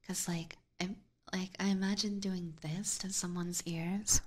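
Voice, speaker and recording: A woman doing casual ASMR chat in a soft, breathy whisper close to a binaural mic, informal and hesitant, chatting to viewers. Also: on the low side, fairly even intonation, unhurried, a little hiss or hum. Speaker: ASMR streamer and host. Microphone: close binaural ear microphone.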